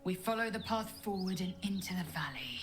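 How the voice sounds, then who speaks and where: A woman's voice: lowish voice, expressive, fairly quick, close to an everyday voice. An actress voicing a proud, fierce githyanki warrior woman in a fantasy game, speaking in a formal, clipped, imperious way. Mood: grim resolve. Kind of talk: fantasy video game acting.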